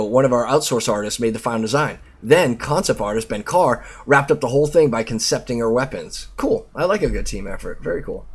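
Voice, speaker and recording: A man's voice: mid-range voice, slightly rough. A man hosting a channel, reading aloud and tossing in casual asides in a playful, theatrical way. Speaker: channel host.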